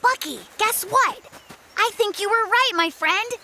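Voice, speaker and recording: Sensible brown bear cub, children's cartoon, very high pitch, unhurried, friendly, earnest, music underneath. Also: expressive, bright, forceful tone, a little hiss or hum, heavily acted. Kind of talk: children's animated cartoon dubbing.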